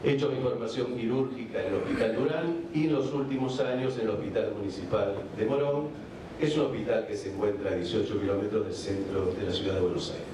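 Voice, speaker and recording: A man from Argentina with an Argentine accent, giving a measured medical conference lecture with frequent hesitation fillers. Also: fairly low pitch, steady tone, distant mic. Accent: Argentine Spanish. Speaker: vascular surgeon giving a conference talk. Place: large conference hall. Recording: echoey hall recording from afar, background noise.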